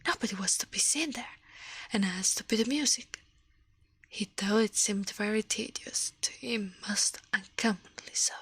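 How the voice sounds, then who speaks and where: A woman reading a story aloud in a gentle, breathy ASMR whisper, halting now and then, close to the microphone. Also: mid-range voice, no room sound. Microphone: close microphone, dry sound.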